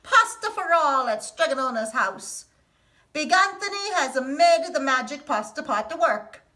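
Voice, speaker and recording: A woman voicing a boastful, clumsy young male village helper in a read-aloud storybook, proclaiming loudly. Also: sits high, unhurried, voice pushed up, exaggerated voice. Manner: loud, excited proclaiming.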